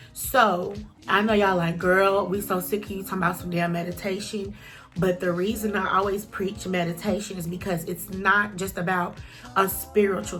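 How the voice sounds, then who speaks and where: A woman's voice: mid-range voice, a bit bright. A woman talking casually to camera as a vlogger, chatty and encouraging, with a stuffy nose.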